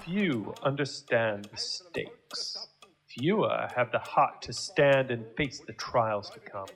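An actor playing a grandiose, menacing Nazi commandant with a German accent, speaking ominously and theatrically in an audio drama. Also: male voice, mid-range voice, animated, slow pace, fairly bright tone.

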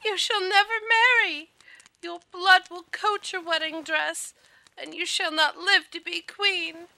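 A very high, bright and piercing young noblewoman, played by an actress in an audio drama. She is frightened and insistent, and the sound is close and dry. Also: steady tone, a little slow, vocal fry, no room sound, exaggerated voice, poor audio.

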